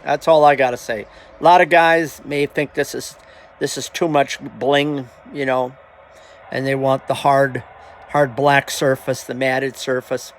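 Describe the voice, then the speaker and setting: A man with a gravelly, creaky voice talking casually as a hobby video host, halting and plainspoken, often trailing off. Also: mid-range voice, little pitch movement, a little hiss or hum. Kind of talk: casual rambling vlog talk.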